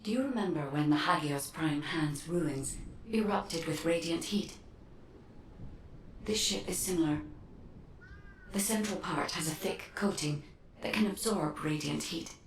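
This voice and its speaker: An actress playing a calm, analytical guide in a video game, explaining in a measured way. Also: female voice, sits low, a little hiss or hum. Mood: calm, serious. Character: calm, analytical female guide advising a hero. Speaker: intelligence guide. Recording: echoey, distant, faint background ambience.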